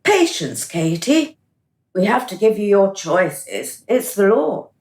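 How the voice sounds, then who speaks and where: An actress playing a cool, smug administrator of a dystopian afterlife in a radio drama, officious and mocking. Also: female voice, medium pitch, big pitch swings, slow, darkish tone, reverberant. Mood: patient, smug.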